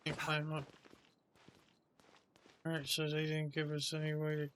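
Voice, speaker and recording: A man's voice: fairly high pitch, completely flat delivery, unhurried, fairly bright tone, slight background noise. A man giving casual gameplay commentary, thinking aloud in short, offhand remarks. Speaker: gamer playing through a story quest. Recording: game music behind. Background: game music.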